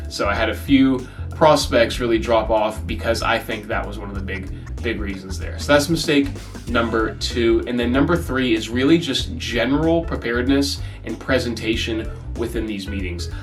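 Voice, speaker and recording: A man's voice: mid-range voice, fairly bright tone, distant mic. A young man with an American accent, casually explaining his freelance sales process in a candid vlog. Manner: candid and conversational, with self-corrections and quick quoted asides. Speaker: freelance email marketer. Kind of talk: casual talking-head vlog.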